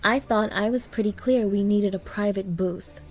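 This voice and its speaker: A woman's voice: mid-range voice, moderate pace, faint music behind, natural voice. A woman playing a haughty, demanding young gold digger on a date, scolding and condescending in an audio roleplay. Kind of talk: ASMR-style dramatic roleplay.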